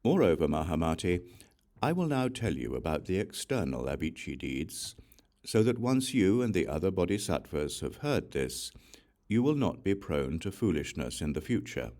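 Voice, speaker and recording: A serene, authoritative Buddha read by a man instructs a disciple in an audiobook scripture. The voice is very deep, with broad swings in pitch, and the teaching comes out solemn and deliberate. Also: very animated, a little mellow. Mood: grave teaching.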